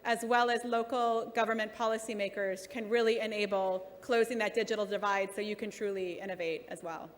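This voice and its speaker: A woman keynote speaker answering audience questions in a measured, encouraging way at a conference. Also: medium pitch, steady tone. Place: large conference hall. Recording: reverberant hall, faint noise.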